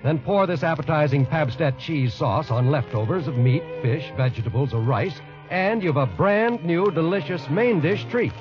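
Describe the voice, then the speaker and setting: Male announcer, 1940s commercial, salesmanlike, swinging pitch, muffled old recording. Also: lively intonation, moderate pace. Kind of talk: 1940s radio advertisement. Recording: dull old radio with loud music.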